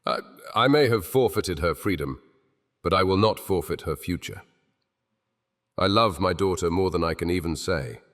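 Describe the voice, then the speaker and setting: A man's voice: low-pitched, animated, moderate pace, a bit bright. A man voicing a guilt-ridden male king who stammers and pleads, in an audio drama with long hall reverb. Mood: anguished.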